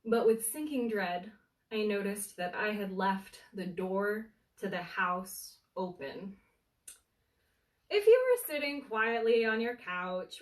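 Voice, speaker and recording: Echoey, distant woman reading a story dramatically. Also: medium pitch. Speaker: bookseller storyteller reading aloud. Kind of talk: animated bedtime story reading of a humorous book. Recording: roomy, echoey, distant from the mic.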